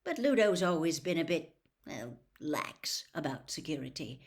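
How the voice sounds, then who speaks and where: Lady doing a cheery middle-aged wizard dad from a storybook, eager and genial, gets excited easy. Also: deep voice, unhurried. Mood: genial, mild. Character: cheerful, enthusiastic middle-aged wizard father, easily overexcited. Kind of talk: storybook character voice.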